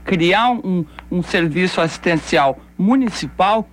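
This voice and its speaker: A woman's voice: lowish voice, expressive, measured pace, a little hiss or hum. A woman from Bahia hosting a call-in radio show, talking bluntly and conversationally with rhetorical questions. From Bahia, Brazil. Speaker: radio host.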